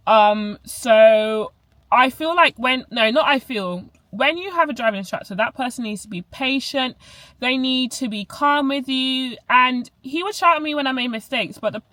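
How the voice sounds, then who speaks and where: A woman's voice: high voice, moderate pace, sharp tone, dry recording. A young woman from Barbados with a Barbadian accent, chatting casually to camera as a vlogger, full of "like" fillers and self-corrections.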